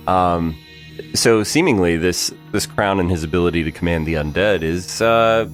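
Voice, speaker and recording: A man co-hosting a casual podcast chat, asking questions and adding quick joking asides. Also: sits low, soft background music. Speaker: podcast co-host. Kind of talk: casual behind-the-scenes chat.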